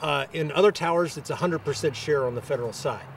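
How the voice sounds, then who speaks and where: Man, kinda high voice, local official giving halting interview answers, mic's a bit far, some street noise.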